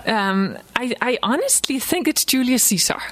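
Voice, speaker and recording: A woman from Denmark, a native Danish speaker with a Danish accent, speaks informally and haltingly as an author addressing an audience. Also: fairly high pitch, animated, a bit bright. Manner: halting, frequent self-repetition, chuckles often. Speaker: author giving a book talk. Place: live book event before an audience, recorded for a podcast.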